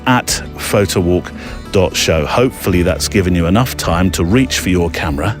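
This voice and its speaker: Low-pitched man hosting a chatty podcast. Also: normal speed, music in the background. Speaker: podcast host. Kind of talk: casual chatty podcast presenting.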